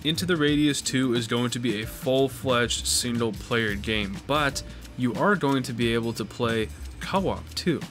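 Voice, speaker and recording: A young man with a cold, his voice a bit raspy and stuffed up, talking in a loose, excitable way and throwing in casual swears. His pitch sits in an ordinary male middle range. Faint music plays quietly under his voice. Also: measured pace. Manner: excitable, swears casually, says "dude" often. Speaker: gaming video host. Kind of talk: casual gameplay commentary.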